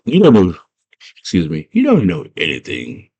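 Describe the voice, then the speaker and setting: A man's voice: low voice, huge pitch swings, moderate pace, vocal fry, warm tone, husky, full character voice. Small big-headed goblin fellow, acted by a man, grumpy, blunt, taunting, with swooping intonation. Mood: mocking. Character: grumpy, mocking little big-headed male goblin creature.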